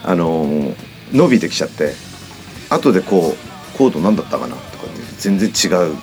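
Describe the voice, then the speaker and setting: A man's voice: sits low, sing-song, fairly quick, darkish tone, soft background music. A middle-aged man chatting casually and telling stories in a blunt, colloquial way on a studio podcast. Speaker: podcast host and musician. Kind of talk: casual chat.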